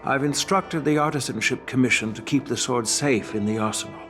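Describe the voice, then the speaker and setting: Man playing aged grandfather swordsmith, game cutscene, measured and grave, mid pitch, soft music under. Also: lightly acted. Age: older. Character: wise elderly male master swordsmith, grandfather. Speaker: game character. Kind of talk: video game cutscene voice acting.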